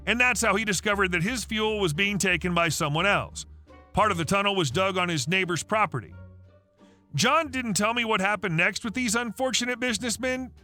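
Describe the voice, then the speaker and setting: A man narrating online stories in a steady, even read-aloud delivery. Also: sits high.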